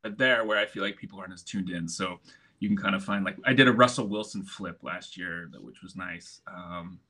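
A man's voice: low voice, brisk, sharp tone. A middle-aged American man with a laid-back manner and frequent chuckles, speaking as a guest in a casual conversation. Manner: laid-back, chuckles often, many restarts. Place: home in Istanbul.

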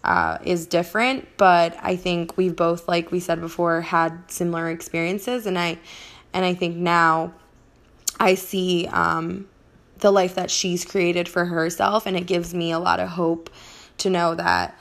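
A young woman from Colombia talks on a podcast in a soft-spoken, hesitant way with many pauses. Her voice is slightly low, with a gravelly edge and a flat, even pitch. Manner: soft-spoken, many ums, gentle laughs. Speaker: podcast co-host. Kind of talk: casual podcast chat.

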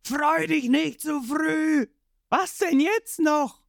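A man's voice: very high-pitched, slow, vocal fry, a bit bright, dry recording, studio quality, pitched above the performer's own voice, heavily acted. A man voicing a boastful, armored male pirate captain who taunts with sneering, gloating lines.

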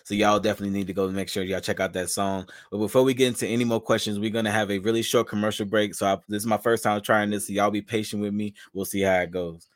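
A man's voice: fairly low pitch, fairly even intonation, fast, hardly any pauses, dry recording. A man hosting a podcast interview, talking in a laid-back, slangy way with frequent restarts. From Charlotte, North Carolina. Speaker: podcast host. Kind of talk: casual interview chat.

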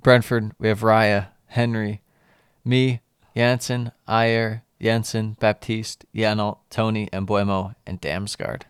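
Smooth low-voiced man on a football podcast. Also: level tone, slow, no room sound, studio quality. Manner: reads lineups, jokes about player names. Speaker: podcast co-host. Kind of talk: casual football podcast chat.

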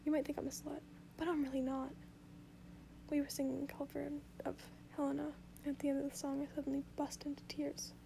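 A woman reading a story aloud in a soft whisper for sleep, indoors at home. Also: high-pitched, monotone, fairly quick, creaky, background noise. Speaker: reader.

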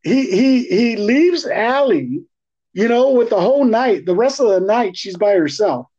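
A man co-hosting a podcast, chatting casually in profane slang with an animated, teasing, ranting manner. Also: high voice, a little slow. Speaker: podcast co-host. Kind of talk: casual, slangy movie chat. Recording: dull, lo-fi podcast audio.

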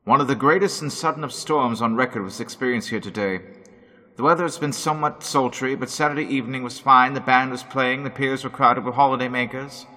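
An actor playing a composed doctor who formally addresses listeners and presents evidence in a radio drama reenactment. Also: male voice, medium pitch, sharp tone. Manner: formal, measured announcing. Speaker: narrator. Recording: long hall reverb.

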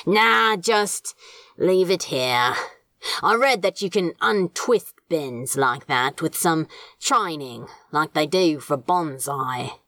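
Woman as a low, curt, guarded male demon. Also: expressive, slow, fairly bright tone, no echo, heavily acted. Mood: gruff, dismissive. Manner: curt, dismissive drawl. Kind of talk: audiobook character dialogue.

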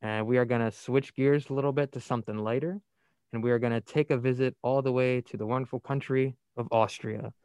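A man's voice: mid-range voice, steady tone, fairly quick, darkish tone, smooth, dry recording. A young man from New Jersey hosting a casual college radio news chat, reading stories aloud in a playful, upbeat way. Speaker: radio show host.

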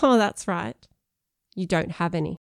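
Woman playing a defensive, sharp-tongued schoolgirl. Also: on the low side, animated, unhurried, creaky, no room sound, clean studio sound. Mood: cutting, mean.

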